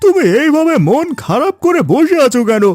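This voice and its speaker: A chatty, scheming village neighbour from a cartoon, pitched very high. The delivery is singsong, inquisitive and animated, recorded dry. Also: male voice, normal speed, no echo, soft background music. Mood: concerned, curious. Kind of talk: cartoon fairy-tale voice acting.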